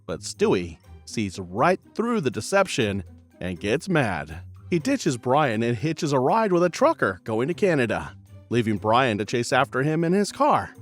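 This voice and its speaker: A man's voice: mid-range voice, sing-song, unhurried, soft background music. A man narrating a cartoon recap in a playful, chatty scripted style. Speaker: channel narrator.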